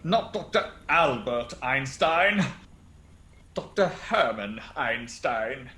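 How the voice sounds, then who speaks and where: A man playing a sinister, grandiose prodigal nephew in a radio drama, pompous and deliberate. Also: medium pitch, expressive, bright, forceful tone. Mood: insistent. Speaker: radio play actor. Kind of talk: theatrical radio drama acting. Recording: roomy echo with faint music.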